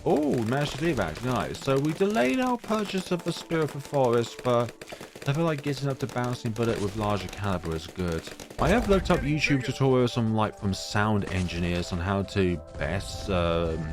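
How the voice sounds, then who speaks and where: A man with a deep voice giving casual, rambling commentary on his own gameplay, full of fillers. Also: sing-song, moderate pace. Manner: rambling, chatty, many fillers. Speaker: gaming YouTuber. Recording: music playing behind voice.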